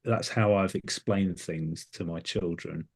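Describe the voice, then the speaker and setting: A man's voice: sits low, fairly even intonation, measured pace, breathy edge. A middle-aged man co-hosting a casual, reflective chat, speaking tentatively and often rephrasing himself. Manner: tentative, self-correcting. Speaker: podcast co-host.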